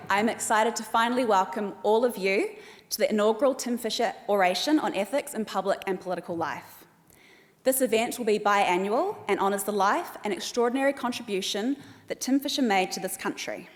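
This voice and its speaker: A woman with a New Zealand accent delivers a formal welcome address in a measured, careful cadence. Her voice sits at an ordinary middle pitch. It carries with a long reverb through a large hall. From Australia. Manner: measured, reads names slowly and deliberately. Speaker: event host. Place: large hall at an evening event.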